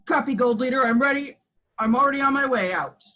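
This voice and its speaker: A woman reads a space pilot in a film table read. The voice is very high and sharp, with urgent, clipped battle calls. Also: quick pace. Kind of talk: amateur screenplay table read.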